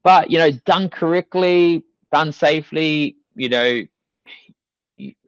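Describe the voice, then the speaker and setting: A man's voice: on the high side, slow, clean tone, no room sound. A young man with a New Zealand accent, a fitness coach chatting as a podcast guest, rambling loosely and leaning on fillers like "you know" and "like". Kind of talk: casual podcast interview. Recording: dull dry studio sound.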